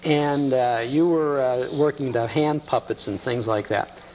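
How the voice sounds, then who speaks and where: A man's voice: mid-range voice, measured pace, a little mellow. Male talk show host, chatty and conversational, slightly hesitant phrasing, faint hum behind him. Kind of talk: casual conversational interview. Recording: noisy recording with hum.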